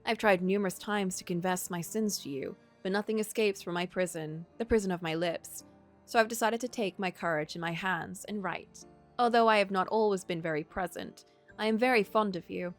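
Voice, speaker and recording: A woman's voice: mid-range voice, brisk, close to an everyday voice. Woman reading as a lovesick young lord, wistful n poetic, confessing, faint music behind. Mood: wistful, tender.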